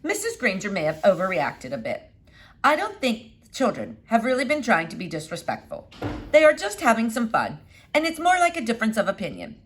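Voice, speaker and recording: A woman's voice: on the high side, huge pitch swings, brisk, breathy edge, full character voice. A woman voicing an uneasy female school principal in a children's storybook read-aloud, hesitant and diplomatic. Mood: uneasy, placating.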